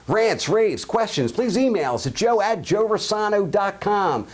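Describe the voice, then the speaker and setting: A man's voice: high voice, lively intonation, unhurried. A man with a Baltimore accent hosting his own show, giving blunt, jokey opinions and answering listener emails. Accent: Baltimore (Highlandtown). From Baltimore, USA. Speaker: show host. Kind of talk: opinion monologue and listener mail.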